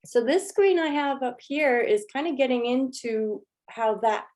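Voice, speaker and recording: A woman leading an art workshop, talking through her slides in a relaxed, chatty way with lots of ums and restarts. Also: high voice, a little slow. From Los Angeles. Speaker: workshop leader. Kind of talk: informal lecture with slides.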